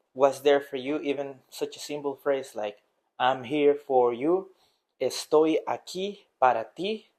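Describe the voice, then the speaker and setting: A man's voice: medium pitch, darkish tone. A man from Rica, a teacher giving a rambling, earnest motivational talk in English to his viewers. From Costa Rica. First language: Spanish. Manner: rambling, earnest, with many restarts and fillers. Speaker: Spanish teacher. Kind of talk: casual motivational talk to camera.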